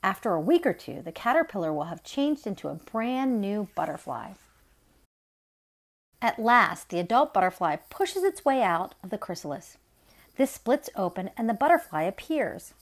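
A middle-aged woman reading a children's nonfiction picture book aloud as story time host, in an expressive, deliberate way. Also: mid-range voice.

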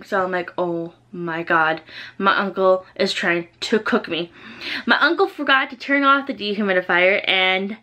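Young woman, casual storytime vlog, fairly high pitch, very bright forceful tone, emphatic delivery. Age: young adult. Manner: animated, emphatic storytelling with frequent "like". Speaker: vlogger. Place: small bedroom indoors.

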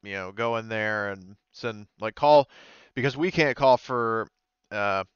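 A man's voice: lowish voice, a little slow, clear, resonant tone, no room sound, lo-fi sound. A man acting as game master, improvising narration casually in hesitant, explanatory phrases.

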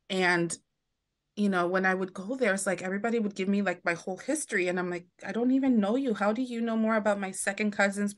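A woman's voice: mid-range voice, a bit bright. Chatty woman guest telling stories. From United States. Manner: chatty, many restarts, acts out quoted voices. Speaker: podcast guest. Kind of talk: casual interview chat.

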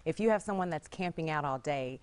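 A woman's voice: deep voice, lively intonation, fairly quick, smooth. An American woman in her thirties explaining things as a business-owner guest on a studio panel.

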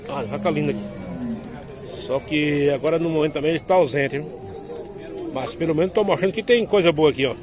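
A man's voice: on the high side, big pitch swings, a little fast, pauses often, over music. A man vlogging at an outdoor cattle fair, chatty and upbeat, asking sellers their prices on a phone mic. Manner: upbeat, chatty, asks prices and praises the cows. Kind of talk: casual walk-and-talk interviews. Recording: outdoor phone recording with crowd around.

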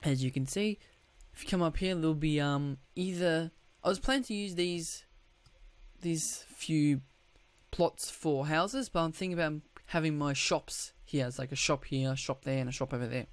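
A man with a croaky, just-woken-up voice, giving casual gameplay commentary, rambling with restarts and throat clearing. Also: fairly high pitch, moderate pace, no room sound. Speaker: gaming YouTuber.

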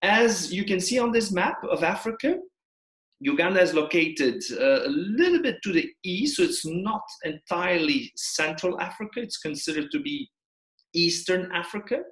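A man, a tour leader from Belgium raised in the Congo, walks viewers through a travel itinerary over a slideshow. His voice sits a little high for a man, and he pauses often with ums and uhs as he goes. The webcam sound carries a roomy echo. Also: lively intonation, fairly bright tone. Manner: hesitant, many fillers. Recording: echoey room on webcam.

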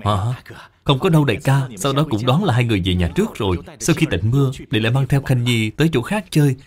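Man playing earnest ancient scholar-official, animated delivery. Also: mid-range voice, quick pace, close to an everyday voice. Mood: reassuring. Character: devoted, earnest younger brother, a scholar-official in ancient China. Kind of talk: animated film dubbing.

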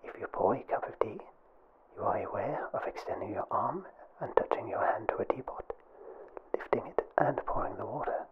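A young man narrating a scripted ASMR information film in a soft, breathy whisper with a formal, deliberate, mock-official delivery. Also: fairly low pitch, lo-fi sound. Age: young adult. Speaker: ASMR video creator, film presenter.

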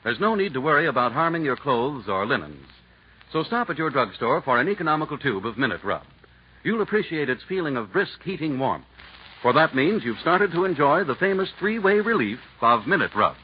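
A man's voice: a little high, sing-song, fairly quick. A man announcing and reading ads on a 1941 radio variety show, in a hearty, jokey announcer manner. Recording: dull lo-fi 1940s radio broadcast with faint hiss.

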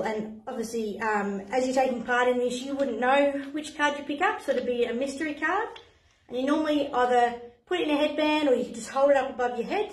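Chatty Australian woman in an echoey playroom. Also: on the high side. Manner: chatty and encouraging, many ums. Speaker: playgroup facilitator. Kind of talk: explaining games to parents on camera. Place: playroom with a screen, indoors. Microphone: camera a few steps away. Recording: echoey room.

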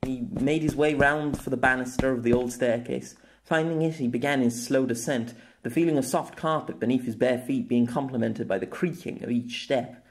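A man narrating his own story as an audiobook, in a steady, measured voice. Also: mid-range voice. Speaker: author and narrator. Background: faint music.

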